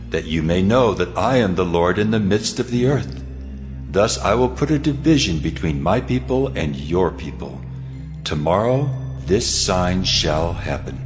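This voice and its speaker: A man playing God in a dramatized scripture audio drama, solemn and majestic, speaking in deliberate declamation. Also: low-pitched. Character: God of Israel, solemn, commanding, majestic divine voice. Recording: reverberant hall, music underneath.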